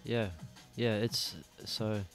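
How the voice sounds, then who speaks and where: A man's voice: lowish voice, flat delivery, drawn-out words, faint music behind. An Australian man hosting a casual podcast chat in a small studio, talking in a rambling way and swearing freely. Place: small dim studio room. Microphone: studio mic on boom arm, headphones.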